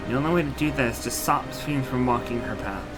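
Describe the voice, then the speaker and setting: A man's voice: medium pitch, quick pace, natural voice. Man playing an earnest young royal woman, music behind. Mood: resolute. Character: earnest, warm-hearted young female royal, resolute and protective. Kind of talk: video game dialogue acting.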